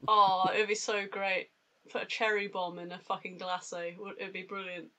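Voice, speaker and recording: A woman's voice: mid-range voice, level tone, normal speed, a bit bright, rough recording. A woman co-hosting a podcast in casual chat, riffing playfully and swearing freely. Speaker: podcast co-host.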